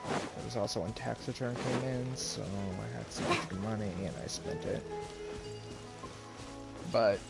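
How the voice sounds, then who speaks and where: A man's voice: on the low side, a little slow, vocal fry, pauses often, poor audio. A man giving casual live commentary while streaming a game. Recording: game music loud behind voice. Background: loud game music and effects.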